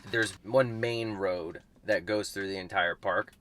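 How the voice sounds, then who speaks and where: A man's voice: low-pitched, steady tone, a little slow. A man vlogging casually from inside a moving vehicle, rambling loosely and swearing offhandedly. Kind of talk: casual on-the-road chat to camera. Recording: loud road and engine noise. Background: car noise.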